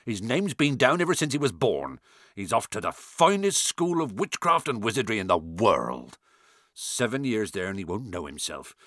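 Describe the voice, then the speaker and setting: A man voicing a big, gruff, warm-hearted giant gamekeeper with rustic colloquial speech, in an audiobook. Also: lowish voice, very animated, normal speed, dry recording, studio quality, heavily acted. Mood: indignant. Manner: rustic colloquial speech, hesitant mumbles, growling and thundering. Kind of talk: audiobook character acting.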